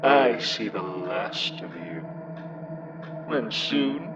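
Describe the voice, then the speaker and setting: A man's voice: mid-range voice, animated. A man playing a menacing, gloating supernatural enemy in an audio horror drama, drawing out his threats. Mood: gloating menace. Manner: very slow, drawn-out, threatening. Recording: dull distant sound under loud music. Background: loud music.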